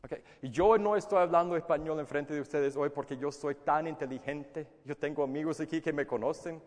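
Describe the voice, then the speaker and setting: A male preacher whose first language is English gives an earnest, emphatic sermon with a somewhat high voice. He often repeats words while he searches for the next one. His voice carries a long reverb, as if from a large hall. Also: a little fast. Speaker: pastor.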